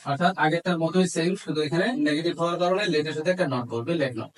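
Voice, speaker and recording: Male teacher, Bangladeshi accent, slightly high voice, methodical step-by-step explanation with examples dictated word by word. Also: quick pace, poor audio. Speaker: English grammar teacher. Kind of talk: instructional lesson mixing Bengali explanation with English examples.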